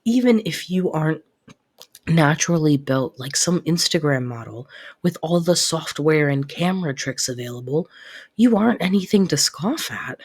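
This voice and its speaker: A young woman playing a caring, protective best friend secretly in love, in soft, intimate roleplay audio, with a husky, breathy voice and a teasing, reassuring way of talking. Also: deep voice, expressive, normal speed, full character voice. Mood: tender reassurance. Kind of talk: soft-spoken roleplay audio acting addressed to listener.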